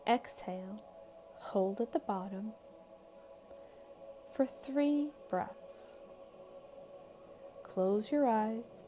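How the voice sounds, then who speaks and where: A woman leading a guided meditation in a calm, soothing, measured way, leaving long pauses between short phrases. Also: fairly high pitch. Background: background music.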